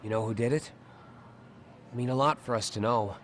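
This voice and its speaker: An actor playing an earnest male high school student with a casual, sincere way of talking in a video game. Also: a little fast, a little hiss or hum, close to an everyday voice. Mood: curious, concerned. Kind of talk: video game cutscene acting.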